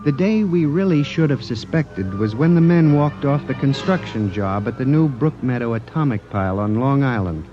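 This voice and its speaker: Male actor as anxious statistician hero of 1950s radio sci-fi; highly expressive, earnest, dulled vintage recording. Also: moderate pace, few pauses, close to an everyday voice. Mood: grave, reflective. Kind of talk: 1950s radio drama acting and narration. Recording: muffled vintage radio recording with music behind.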